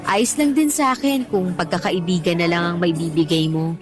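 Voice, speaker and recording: A woman's voice: on the low side, normal speed, lightly acted. A woman acting as a candid, talkative teacher and single mother on a date in a Tagalog radio drama. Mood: earnest, confiding. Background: background music.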